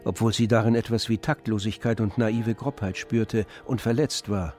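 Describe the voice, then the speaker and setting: A man narrates a literary audio drama in a fairly low voice. The delivery is scripted storytelling, with soft music underneath. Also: fairly even intonation, normal speed.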